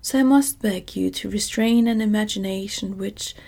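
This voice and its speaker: A woman's voice: mid-range voice, moderate pace, vocal fry. Scarecrow, a fussy straw king, as a whispering woman puts him on. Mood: lecturing. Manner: soft whispered reading.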